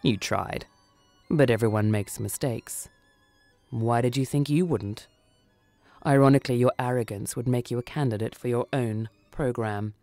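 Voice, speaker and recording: A woman voicing a sombre, coolly mocking male judge of the dead in a radio story, measured and stern. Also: a bass voice, warm tone, no room sound, faint music behind.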